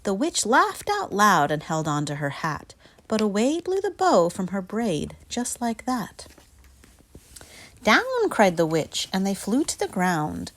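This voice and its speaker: A woman reading a children's picture book aloud in an expressive, dramatic storytelling style. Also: mid-range voice, measured pace.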